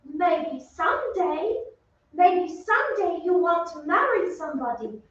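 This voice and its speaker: A woman's voice: very high-pitched, big pitch swings, a little slow, muffled recording, exaggerated voice. A woman playing an earnest, tender young noble girl, pleading and encouraging in an old-time radio play. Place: theatre stage, heard from off. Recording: very echoey distant stage, noisy.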